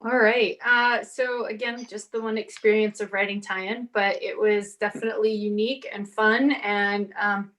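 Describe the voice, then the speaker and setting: A woman in her forties whose first language is Portuguese, telling an animated story on a convention panel and acting out the exchanges she quotes. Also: mid-range voice, moderate pace, hardly any pauses, low-quality recording. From half Brazilian, grew up speaking Portuguese. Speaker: panelist author. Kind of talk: casual panel talk.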